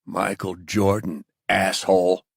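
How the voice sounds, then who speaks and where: A man's voice: low voice, little pitch movement, slow, gravelly, no echo, clean studio sound, exaggerated voice. A man voicing a battered, defiant male captive in an audiobook thriller, curt and strained as if through clenched teeth. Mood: defiant, pained.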